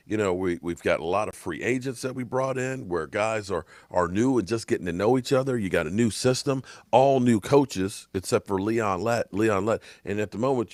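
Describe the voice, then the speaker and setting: A man giving opinionated sports commentary in an emphatic, frustrated rant, his voice hoarse and fading. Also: fairly low pitch, no room sound. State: hoarse, coughing.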